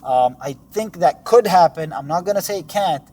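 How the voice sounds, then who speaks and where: A man's voice: a little high, expressive, normal speed, dark tone. A man in his thirties from Dubai, talking casually to camera about his business life, loose and conversational with fillers and self-corrections. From Dubai, Middle East. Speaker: real estate entrepreneur.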